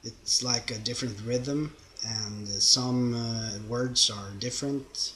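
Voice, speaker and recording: Slow-talking young Swedish man, bright voice. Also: mid-range voice, fairly even intonation, a little hiss or hum. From Sweden. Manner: chatty and rambling, loose grammar, frequent fillers. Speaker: YouTuber answering viewer questions. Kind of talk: casual Q&A vlog. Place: home, lives in Norway.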